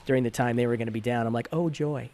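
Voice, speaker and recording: A middle-aged man with a fairly high voice, a podcast guest telling a story in a casual way and acting out the quotes. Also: very fast. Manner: animated, acts out quotes in a raised voice.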